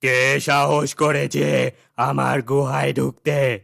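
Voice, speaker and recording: Casting: male actor for evil fairytale wizard, menacing, mid-pitched creaky voice, deliberate pace, commanding. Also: little pitch movement, a bit bright, dry recording, clean studio sound, full character voice. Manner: commanding, threatening. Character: menacing male shadow sorcerer craving immortality.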